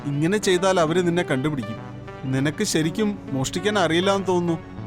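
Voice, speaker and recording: A man voicing a brash male thief who boasts and coaxes in an animated storybook, over music. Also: fairly high pitch, fairly quick, natural voice. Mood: cocky. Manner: boastful, coaxing. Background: background music.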